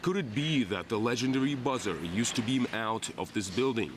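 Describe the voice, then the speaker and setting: A man's voice: mid-range voice, animated, fairly quick, background noise. A man narrating a scripted television news report as the reporter. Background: music bed.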